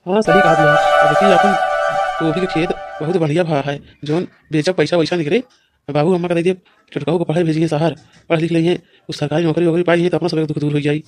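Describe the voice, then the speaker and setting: A man's voice: fairly high pitch, fairly even intonation, fairly quick, natural voice. A man playing a determined, hardworking farmer and elder brother in a village cartoon, reassuring and emphatic, with an Awadhi accent. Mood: hopeful. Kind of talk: cartoon village comedy dialogue.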